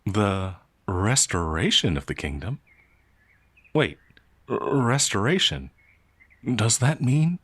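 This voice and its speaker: A man voicing a gentle, confused, ancient werewolf in an ASMR roleplay, soft-spoken and polite, with hesitant stammering. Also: lowish voice, expressive, husky, dry recording, exaggerated voice. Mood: confused, anxious. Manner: halting, hesitant, bewildered stammering. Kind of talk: ASMR roleplay audio drama.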